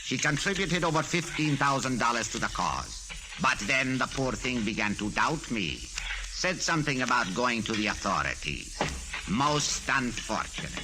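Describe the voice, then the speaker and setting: An actor in 1940s radio style playing a megalomaniac cult villain, grandiose, pompous and coldly polite in formal, theatrical speech. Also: male voice, medium pitch, expressive, normal speed, close to an everyday voice, poor audio. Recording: vintage 1940s radio with organ music.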